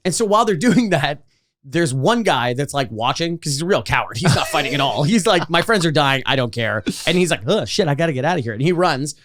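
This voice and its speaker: A man with a slightly high voice that swings widely as he talks. He tells stories like a podcast co-host in casual, comedic chat, slipping into mimicked asides along the way. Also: fairly quick. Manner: animated storytelling with mimicked asides.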